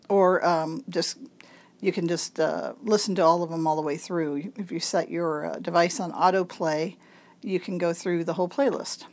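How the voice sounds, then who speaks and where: A woman with a raspy, congested voice reads a local magazine article aloud, speaking slowly and enunciating with care. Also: lowish voice, fairly even intonation, darkish tone. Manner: slow, careful enunciation. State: congested, throat-clearing. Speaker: volunteer reader of a local magazine.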